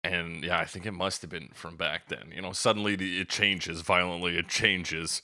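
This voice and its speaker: A man with a deep voice that still has a bright edge, in a dry, close recording. He chats casually as a podcast co-host, loose and joking, often quoting lines. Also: fairly even intonation, normal speed, no room sound. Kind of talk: casual chat about song lyrics.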